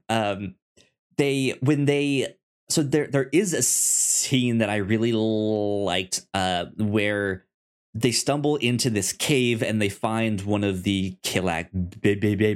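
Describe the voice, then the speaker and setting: Slow-paced man, halting and stammering. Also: on the low side. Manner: halting delivery, repeats and stammers words. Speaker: podcast co-host. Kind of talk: casual film discussion.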